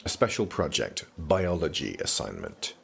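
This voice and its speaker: Very low-voiced, formal young prince. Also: male voice, normal speed, gravelly, husky, heavily acted. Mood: evasive, apologetic. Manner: formal, clipped, deadpan. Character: stiff young prince student, formal, reserved, exasperated. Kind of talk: audio drama acting.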